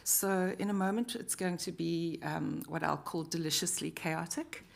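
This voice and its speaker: A woman from southern Africa with a South African accent, leading a live workshop in a halting, friendly way with many pauses and fillers. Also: fairly low pitch, fairly even intonation, vocal fry. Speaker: workshop facilitator. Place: university meeting room.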